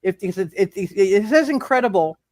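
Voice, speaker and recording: A man running a live tabletop superhero game as game master, thinking aloud in halting phrases and repeating his words. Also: high-pitched, expressive, no echo, studio quality.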